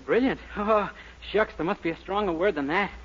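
Fast, high-voiced naive young radio goof. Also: male voice, fairly bright tone, slightly rough. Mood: cocky. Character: naive, cocky, bumbling young man posing as doctor. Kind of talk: 1940s radio sitcom acting. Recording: muffled, very noisy 1940s radio recording.

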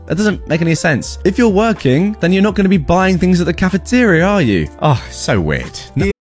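A man giving casual, incredulous reaction commentary as a narrator. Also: mid-range voice. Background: guitar music.

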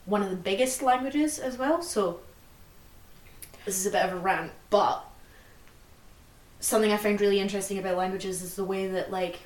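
A woman's voice: mid-range voice, normal speed, long pauses, far from the mic. Rambling young woman, roomy sound. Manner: rambling, fills speech with "like". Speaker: podcast co-host. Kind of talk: casual chat. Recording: roomy, slightly off-mic.

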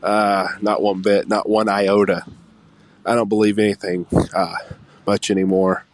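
A man voicing a gruff, distrustful middle-aged man who talks in flat, clipped, dismissive denials. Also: on the low side, measured pace, voice pushed down, natural voice. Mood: scoffing.

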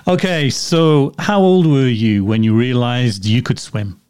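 A man's voice: medium pitch, takes it slowly, a little mellow, clean tone, dry recording, studio quality. A man hosting an interview, asking questions in a jokey way and laughing as he goes. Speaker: podcast host. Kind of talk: casual interview.

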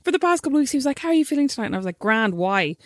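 A woman's voice: high-pitched, big pitch swings, rapid-fire, no room sound, clean studio sound. A woman, co-hosting a casual chat with her sister, telling stories animatedly and laughing often.

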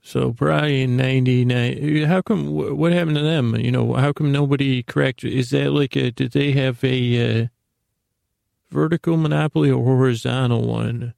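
Male podcast host, middle-aged, gravelly fry, halting lulling bedtime rambles, dry intimate recording. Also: medium pitch, fairly even intonation, darkish tone, no room sound. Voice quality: gravelly, creaky. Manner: meandering, halting, repeats and trails off; lulling, soothing, friendly tones. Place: small closet full of clothes, indoors.

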